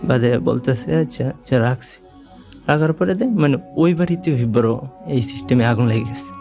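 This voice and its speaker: A Bangladeshi man with an average-pitched voice tells his own story as a guest. He speaks haltingly, circling back and leaning on fillers. Music runs clearly beneath his voice. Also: mellow. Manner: halting, repetitive, leans on filler phrases. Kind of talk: unscripted personal storytelling.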